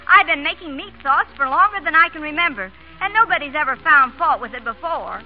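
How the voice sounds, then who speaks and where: A woman's voice: high voice, animated, a little fast. An actress playing a proud, plain-spoken small-town American woman in old radio comedy. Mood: proud, indignant. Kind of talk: 1930s-40s American radio comedy acting. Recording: dull old radio recording, faint music.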